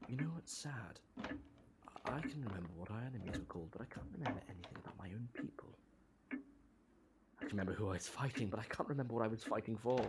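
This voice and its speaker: An actor playing a brooding soldier with a halting, reflective manner in an audio drama. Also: male voice, fairly low pitch, lively intonation, lightly acted. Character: brooding male soldier with patchy war memories. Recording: very noisy amateur recording.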